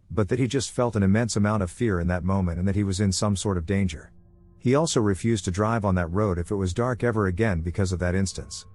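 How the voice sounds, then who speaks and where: A man with a fairly low voice reads out creepy first-person stories. His delivery is calm and steady, a scripted storytelling narration. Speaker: voice actor narrating submitted stories.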